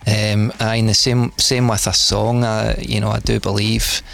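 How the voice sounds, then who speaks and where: A middle-aged Scottish man, a DJ and producer, chatting in an interview in a relaxed, rambling way with lots of fillers and restarts. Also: on the low side, normal speed, vocal fry. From Scotland. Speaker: DJ and producer guest. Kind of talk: casual interview chat.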